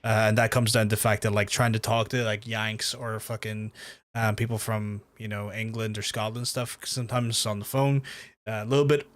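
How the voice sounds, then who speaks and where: A man with a softened Belfast accent, chatting casually and rambling as he streams a game, swearing freely. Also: on the low side, little pitch movement, normal speed. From Belfast, Northern Ireland. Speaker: live streamer. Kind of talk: casual gameplay commentary and chat with viewers.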